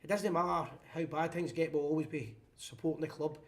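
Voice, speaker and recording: Brief: Scottish male host, blunt, fast-talking, slightly high voice. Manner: blunt, opinionated, quick-talking. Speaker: host of a fan phone-in show. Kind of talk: live phone-in chat.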